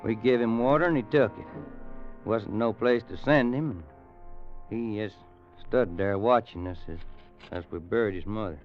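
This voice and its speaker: Guy playing a folksy old rancher, low drawl, dull vintage radio sound. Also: moderate pace, soft background music, lightly acted. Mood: somber. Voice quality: drawling, folksy, grammar-bending. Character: weathered old frontier rancher narrating. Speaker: narrator. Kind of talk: 1940s radio drama. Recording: muffled vintage radio recording.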